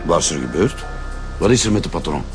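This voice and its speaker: An actor playing a cornered, protesting drug dealer in a radio drama. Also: male voice, sits low, expressive, quick pace, over music. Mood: defensive.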